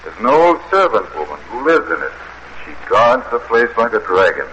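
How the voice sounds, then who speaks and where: An actor playing an affectionate, poetic young husband on holiday in a 1940s style radio drama. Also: male voice, on the low side, sing-song, moderate pace, bright, forceful tone. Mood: confiding. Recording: dull, hissy old radio recording.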